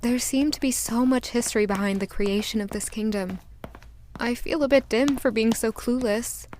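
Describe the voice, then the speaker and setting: High-voiced, earnest young noblewoman, played by an actress. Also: moderate pace, a little mellow, slight background noise, lightly acted. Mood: musing, self-doubting. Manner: earnest, courteous. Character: polite, curious young noblewoman, easily flustered, eager. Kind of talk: audio drama acting with inner monologue. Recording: music behind the voice.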